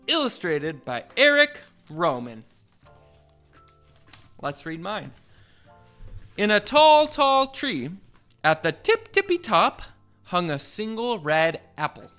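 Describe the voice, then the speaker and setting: Animated man reading picture books aloud, bright voice. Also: high-pitched. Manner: playful, expressive, drawn-out delivery. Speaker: host of children's read-along show.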